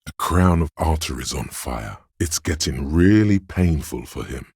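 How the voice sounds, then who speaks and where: Goading inner voice of pain, video game narration, slow and deliberate, gravelly, extremely husky, grim, close dry sound. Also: male voice, rumbling low, lively intonation, darkish tone, no echo, exaggerated voice. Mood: grim, brooding. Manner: slow, deliberate, weighty, intense delivery.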